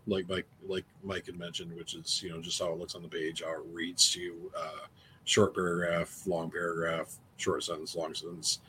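A man's voice: deep voice, a bit bright. A man explaining his thoughts in a casual conversation as an author on a panel. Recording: faint background noise.

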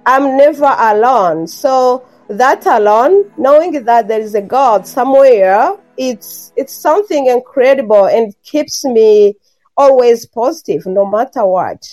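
A woman's voice: a little high, animated, measured pace, darkish tone, rough recording. Woman, earnest, repetitive phrasing, light music behind. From Rwanda. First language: Kinyarwanda. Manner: earnest, repeats phrases, frequent ums. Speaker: guest author. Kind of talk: interview conversation.

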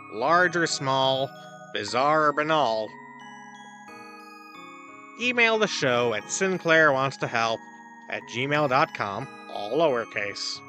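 Casting: male actor for a breezy, self-assured god hosting a comedy advice podcast, very crisp bright edge, theatrical emphasis, music underneath. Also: mid-range voice. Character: cheerful deluded god dispensing absurd, dangerous advice. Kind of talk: comedic audio drama monologue, advice show.

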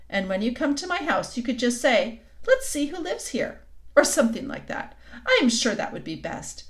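Sly queen, coaxing and cold, read by a woman; high, lively voice. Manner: coaxing, silky, suddenly sharp. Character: sweetly scheming cold queen, tall and commanding.

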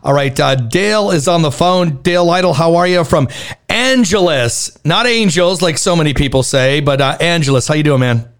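A man hosting a radio show, interviewing a caller in a relaxed, chatty way with long, rambling questions. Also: a little high, normal speed. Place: Toronto.

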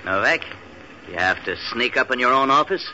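An actor playing a taunting, self-assured armed crook in 1940s radio noir. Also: male voice, medium pitch, animated, moderate pace, bright, forceful tone. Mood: smug, menacing. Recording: dull, hissy old radio recording.

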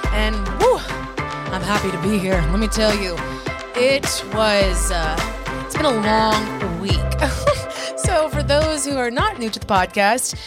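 A young woman hosting a solo podcast, chatty and self-mocking, her voice husky and a little hoarse from congestion. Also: mid-range voice, lively intonation, slow pace, over loud music. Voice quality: husky, raspy. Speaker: podcast host.

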